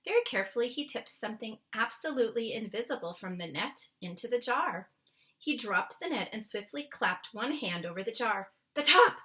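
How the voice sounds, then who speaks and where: Animated, bright-voiced woman reading a storybook. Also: a little high, normal speed. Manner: dramatic, expressive phrasing. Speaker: storybook reader. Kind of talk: animated children's read-aloud narration.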